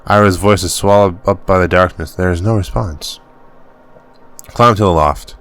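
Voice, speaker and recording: Deep-voiced man narrating a game. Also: fairly bright tone. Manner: halting reading, stumbles over words and laughs it off. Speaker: gaming channel host. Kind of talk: reading game text aloud as narration.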